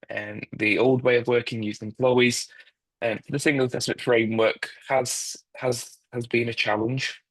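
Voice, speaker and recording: A man's voice: mid-range voice, a bit bright, no echo, rough recording. A man with a broad Manchester accent giving a presentation in an online meeting, talking with many ums and restarts. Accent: Manchester English. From England. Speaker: guest speaker, quality consultant. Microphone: video call.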